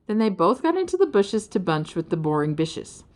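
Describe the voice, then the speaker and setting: A woman reading a children's story aloud as an expressive narrator. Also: mid-range voice, normal speed. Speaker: audiobook reader.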